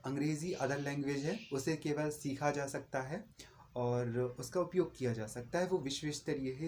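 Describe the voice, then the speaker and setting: Hindi guy reviewing a book, earnest and repetitive, kinda high voice, faint hiss behind. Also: darkish tone. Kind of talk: casual spoken book review.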